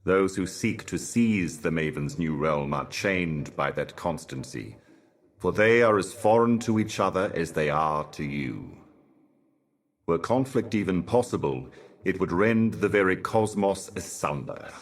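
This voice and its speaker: An actor playing a grave, cryptic otherworldly envoy in a video game, speaking in a formal, oracular manner. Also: male voice, low-pitched, animated, measured pace. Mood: ominous, reverent. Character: solemn, cryptic otherworldly male envoy of a cosmic mistress. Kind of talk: video game character voice lines.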